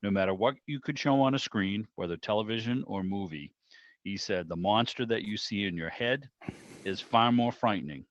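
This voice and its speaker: Deep-voiced man reminiscing, dull call audio. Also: moderate pace, no room sound. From Boston area, United States. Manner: chatty, peppered with "you know". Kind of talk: casual, rambling reminiscence. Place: online video meeting. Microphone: video call microphone.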